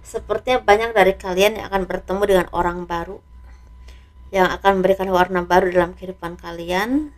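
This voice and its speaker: A slightly hoarse woman doing a casual tarot reading, speaking softly and letting her phrases trail off. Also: on the low side, fairly even intonation, a little fast. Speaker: tarot reader.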